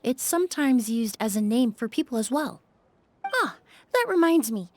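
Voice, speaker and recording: A woman voicing a composed young perfumer in a video game, speaking in a measured, explanatory way. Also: a little high, big pitch swings. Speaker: perfumer with medical knowledge. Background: faint game music.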